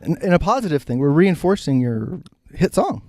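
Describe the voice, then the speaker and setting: A man's voice: fairly high pitch, sing-song, moderate pace, a little mellow. An American man co-hosting a podcast, trading stories in an informal, casual way. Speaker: podcast co-host. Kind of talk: casual banter, telling stories.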